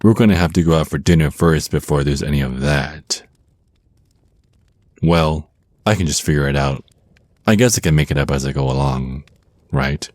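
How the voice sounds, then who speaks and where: Guy playing a super low voiced flirty vampire snake man, smug and menacing, asmr roleplay, really dry close sound. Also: a little mellow, no room sound. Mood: flirty, playful. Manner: smug, teasing, slow deliberate menace with drawn-out words. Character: flirty, menacing male vampire naga crime lord, smug and teasing.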